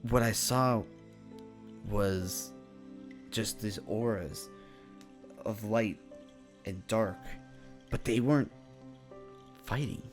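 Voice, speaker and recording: A man playing a confident young demon mage in an improvised Minecraft fantasy roleplay, speaking in a halting, conversational way and often checking for agreement. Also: on the low side. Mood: brooding. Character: confident young male demon mage, casual, secretive, determined. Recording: voice over background music.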